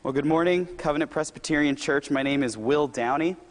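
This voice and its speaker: Slightly hoarse young preacher in a reverberant hall. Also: male voice, fairly high pitch, fairly quick. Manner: relaxed, self-deprecating and conversational. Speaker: youth director and occasional preacher. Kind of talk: sermon. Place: large church hall.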